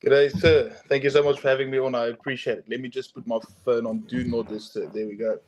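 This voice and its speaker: A man's voice: medium pitch, flat delivery, fast, a little mellow, a little hiss or hum, poor audio. A young man from South Africa with a South African accent, a guest talking casually in an interview, hesitant with many ums and restarts. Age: young adult. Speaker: guest, arm wrestler.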